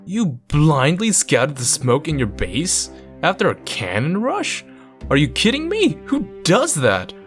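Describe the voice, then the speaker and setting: A man's voice: fairly high pitch, wildly swooping pitch, a little slow, soft background music, voice pushed up. A man voicing a young hot-headed gamer and sore loser, indignant and incredulous, in a visual novel. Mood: furious disbelief.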